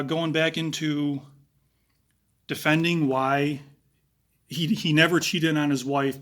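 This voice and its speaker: A man with a raspy voice giving analytical true crime commentary, talking casually with pauses and fillers. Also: a little high, a bit bright.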